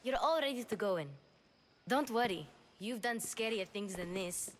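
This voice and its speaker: A woman's voice: on the high side, animated, normal speed, slight background noise, natural voice. A woman playing a cheerful, bossy young girl in a video game, confident and matter-of-fact. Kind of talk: video game dialogue acting.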